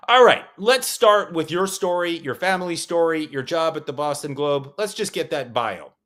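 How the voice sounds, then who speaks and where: A man's voice: on the high side, expressive, normal speed, fairly bright tone. A man hosting a morning news podcast, delivering scripted headlines with punchy, sarcastic asides. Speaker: podcast host. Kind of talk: scripted news briefing with commentary.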